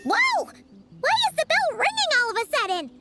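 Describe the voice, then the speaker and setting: A woman voicing a small, chirpy, boastful female fairy companion who talks in an excitable, self-important way. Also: very high voice, moderate pace, heavily acted. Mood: excited, boastful. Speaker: game character. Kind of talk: game dialogue voice acting. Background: game music.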